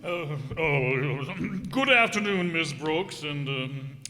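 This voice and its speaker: Man as a pompous, swooping, high-voiced principal. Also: animated, slow pace, pushed and bright, heavily acted. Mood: pompous, pleased. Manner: pompous, formal, hemming and throat-clearing. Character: pompous, stuffy older male school principal. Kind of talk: old-time radio comedy acting. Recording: echoey hall, long reverb.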